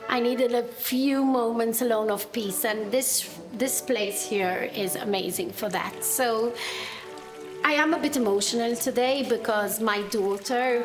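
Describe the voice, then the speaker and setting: A woman event planner talking casually and directly as she explains her work. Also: mid-range voice. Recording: roomy sound with music behind.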